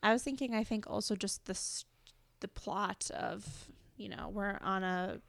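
Chatty woman with a gravelly edge. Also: mid-range voice, measured pace. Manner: chatty, laughs often, quotes herself. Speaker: podcast co-host. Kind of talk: casual chat.

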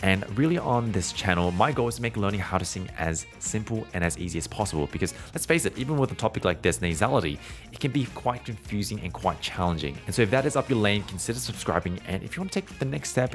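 A male voice teacher with a very low speaking voice talks through a singing lesson in a loose, conversational way. He sometimes breaks off to hum or hold out vowels as demonstrations. Soft music plays faintly underneath. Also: animated, fast. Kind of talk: explanatory singing lesson, podcast.

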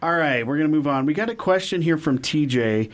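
A man's voice: fairly high pitch, animated, brisk. A man co-hosting a casual podcast chat who steers the talk and reads out listener questions. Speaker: podcast co-host.

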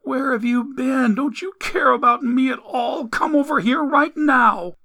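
Man voicing a high-pitched, needy, pleading mother. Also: slow, vocal fry, a bit bright, exaggerated voice. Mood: scolding. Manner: pleading, swinging between scolding and cooing. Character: tearful, needy, manipulative mother, adult woman. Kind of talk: dramatic audiobook character voice.

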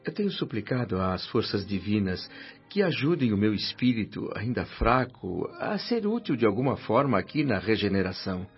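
Radio drama lead: earnest, repentant former doctor, solemn and formal, fairly low pitch, lo-fi sound. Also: male voice, sing-song, normal speed. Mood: humble, earnest. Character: humble, earnest former doctor, newly arrived spirit, narrating his afterlife. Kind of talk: radio drama acting and first-person narration. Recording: dull lo-fi radio drama with music.

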